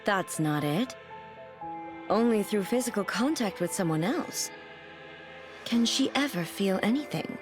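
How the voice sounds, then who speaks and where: Woman voicing a calm squad explainer. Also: medium pitch, unhurried, long pauses, close to an everyday voice. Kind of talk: game voice acting. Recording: game audio over faint music.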